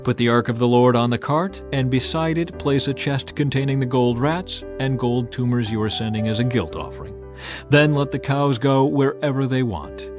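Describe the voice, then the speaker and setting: A man's voice: medium pitch, normal speed, over music, close to an everyday voice. A man as grave pagan priest giving counsel. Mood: grave, instructive.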